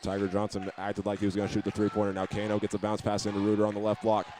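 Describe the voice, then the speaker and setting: A man's voice: on the low side, completely flat delivery, racing, background noise. An American man calling a basketball game live as a play-by-play announcer, describing each move as it happens. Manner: running present-tense description of each move.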